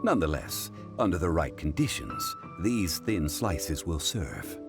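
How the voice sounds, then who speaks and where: Low voiced scholarly game character, formal, teachy, super varied intonation. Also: male voice, very animated, exaggerated voice. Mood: calm. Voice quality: deep, measured. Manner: formal, didactic, speaks in proverbs. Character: composed, learned gentleman, wise and fair-minded expert. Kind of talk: video game voice acting. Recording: music behind the voice. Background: background music.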